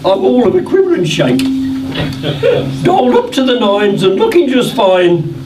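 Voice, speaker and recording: A man's voice: sits high, sing-song, normal speed. A man playing a vain, bossy, man-hungry ugly stepsister in an amateur rhyming pantomime. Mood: man-hungry, excited. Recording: distant roomy stage recording with noisy audience.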